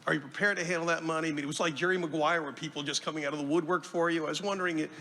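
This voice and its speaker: A man's voice: fairly high pitch, steady tone, very fast, bright, forceful tone. A male reporter asking a draft pick chatty, joking questions at a press conference. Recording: reverberant hall, faint hum.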